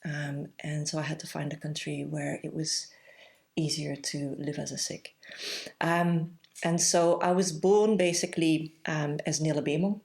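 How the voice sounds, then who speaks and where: A woman in her forties with a Belgian accent talks as a podcast guest in a low voice. She speaks thoughtfully and pauses often to gather her words. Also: steady tone, vocal fry, darkish tone. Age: 40s. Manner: reflective, thoughtful, pausing often with "um". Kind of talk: casual interview conversation.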